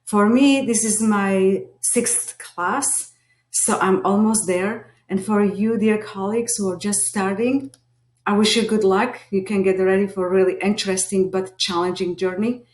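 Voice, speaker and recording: A woman's voice: medium pitch, fairly even intonation, a little slow. Slovak-accented woman, echoey room. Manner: earnest, many hesitations. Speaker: teacher lead and behavior analyst. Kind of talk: class introduction video.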